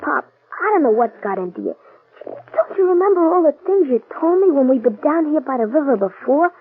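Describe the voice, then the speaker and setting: An earnest little street kid who stands up for his dad. His voice is high and bounces all over the place. It sounds tinny and thin, like an old broadcast. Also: very animated, brisk, slight background noise. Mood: earnest, pleading. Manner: earnest, street slang, eager. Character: plucky young boy Joey, loyal to his father. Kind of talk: 1940s radio acting. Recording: tinny lo-fi old radio recording.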